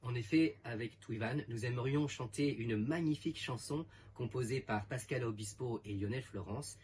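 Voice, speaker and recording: A man's voice: mid-range voice, moderate pace, darkish tone, a little hiss or hum. A man co-hosting a radio show, introducing a song in a formal presenter manner. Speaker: radio co-host. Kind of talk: announcing on a broadcast.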